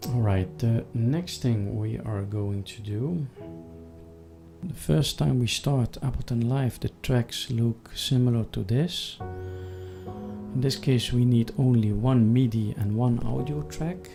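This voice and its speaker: A man hosting a step-by-step software tutorial in a deliberate, instructional manner. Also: medium pitch, vocal fry, music in the background. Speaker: tutorial host.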